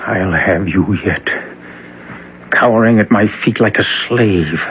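Possessed young man, husky, low, lively menacing lines, dull old radio broadcast. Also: takes it slowly, slight background noise. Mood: sinister incantation.

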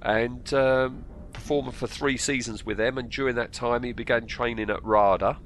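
A man's voice: mid-range voice, steady tone, normal speed, a bit bright, slight background noise. A man with a slightly congested voice from a cold, hosting a casual film podcast in a hesitant, rambling way and repeating himself. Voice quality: bunged-up nose from a cold. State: has a cold. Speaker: podcast host. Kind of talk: casual film discussion reading out actor trivia.

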